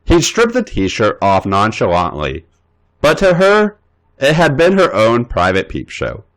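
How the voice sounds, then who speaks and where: A man's voice: medium pitch, wildly swooping pitch, unhurried, slight background noise. A man reading erotic fan fiction aloud as a podcast host, playful and theatrical.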